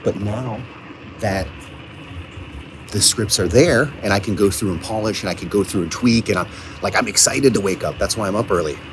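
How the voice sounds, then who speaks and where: A man speaking casually in a self-recorded video diary on location, thinking aloud in a halting way with repeated words. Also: fairly low pitch. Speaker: audio drama writer and producer. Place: coffee shops and outdoors, on location. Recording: noisy on-location recording with ambient sound.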